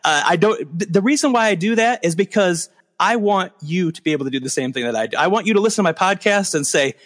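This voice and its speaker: A man's voice: high voice, lively intonation, fast, a bit bright. Rambling self-deprecating male podcast host. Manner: rambling, self-deprecating, many fillers and restarts. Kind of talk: casual podcast chat.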